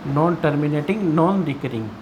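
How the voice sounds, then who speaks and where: A man's voice: a little high, lively intonation, normal speed, background noise. An Indian man teaching maths in an explanatory lesson style, asking and answering his own questions and repeating key points.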